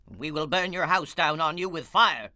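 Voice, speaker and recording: A sharp, threatening tribesman. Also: a little high, moderate pace, dry recording, studio quality. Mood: angry, threatening. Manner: accusing, menacing. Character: angry, threatening Ephraimite tribesman. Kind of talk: dramatized audio Bible acting.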